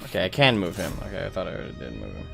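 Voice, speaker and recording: Self-mocking male streamer, lively pitch. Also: very fast, creaky, over music. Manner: self-deprecating, laughs often. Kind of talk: casual gaming chat.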